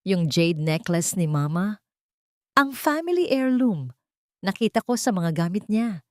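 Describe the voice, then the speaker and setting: A woman voicing a distraught wealthy wife who accuses her maid through tears, in a high, strained voice. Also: expressive, measured pace, darkish tone, no echo, studio quality, lightly acted. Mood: tearful accusing. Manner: high, sobbing, accusing outbursts.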